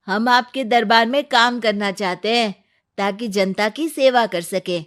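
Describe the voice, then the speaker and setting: An eager, cocky young man from a cartoon story, performed by a woman. The voice sits fairly high and moves slowly, earnest and ready to argue. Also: a bit bright.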